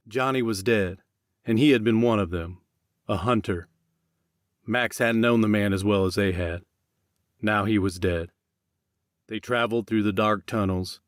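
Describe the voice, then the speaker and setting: A man's voice: on the low side, dry recording, studio quality. A man reading scripted fiction as an audiobook narrator.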